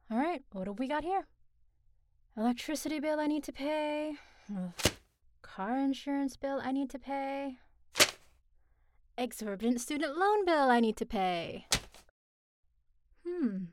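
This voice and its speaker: Casting: a sardonic young reporter, somewhat high voice, dry self-talk. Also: female voice, animated, takes it slowly, pauses often, heavy background noise. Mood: wry. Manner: sardonic, talking to herself. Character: wry young woman journalist.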